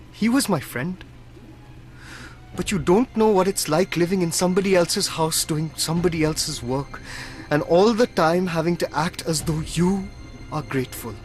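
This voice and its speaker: Actor as a high-voiced, agitated Indian man. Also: lightly acted. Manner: agitated, emotional. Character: defensive, resentful male household employee accused of murder. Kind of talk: radio drama acting.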